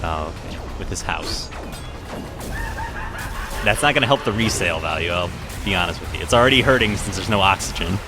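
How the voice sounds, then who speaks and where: A man's voice: low voice, lively intonation, fairly quick, long pauses, music in the background. A man casually joking as co-commentator on a let's play of a video game. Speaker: gameplay co-commentator.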